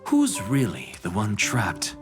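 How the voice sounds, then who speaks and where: An actor playing a self-assured, irreverent professor in a video game, musing in a pompous, theatrical manner. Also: male voice, on the low side, huge pitch swings, takes it slowly, music in the background, full character voice. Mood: philosophical. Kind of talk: video game character acting.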